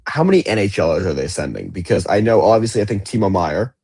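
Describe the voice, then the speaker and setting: A man's voice: low voice, expressive, fast talker, warm tone, breathy edge, no echo, clean studio sound. A man co-hosting a podcast, talking sports in a loose, rambling way with lots of fillers. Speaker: podcast co-host. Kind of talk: casual sports chat.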